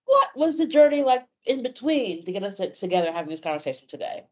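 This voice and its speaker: A woman hosting a podcast interview, talking casually with relaxed, friendly banter. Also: medium pitch, fast talker, breathy edge. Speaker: podcast host. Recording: dull lo-fi audio.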